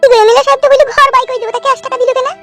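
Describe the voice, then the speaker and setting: A woman's voice: very high-pitched, little pitch movement, very fast, over music. A woman playing a long-suffering village wife in a comic cartoon, plaintive and complaining. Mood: plaintive, questioning.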